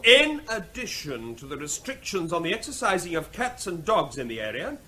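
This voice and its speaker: A man's voice: fairly high pitch, big pitch swings, moderate pace, pushed and bright. An actor playing a firm male veterinary officer briefing a restless public meeting, raising his voice over hecklers in a vintage radio drama. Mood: insistent.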